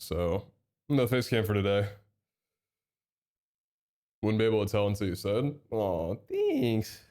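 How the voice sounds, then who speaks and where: A man's voice: on the low side, slow. Young guy streaming, bit stuffy and nasal from a cold, chatty and hyped. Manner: chatty and excitable, reads out chat and thanks subs. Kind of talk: casual live-stream chat.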